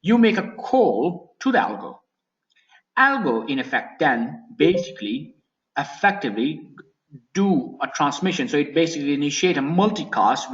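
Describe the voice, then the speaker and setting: A man giving an informal technical product presentation as a webinar presenter, explaining in a halting, repetitive way with frequent restarts. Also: mid-range voice. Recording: dull, lo-fi webinar audio.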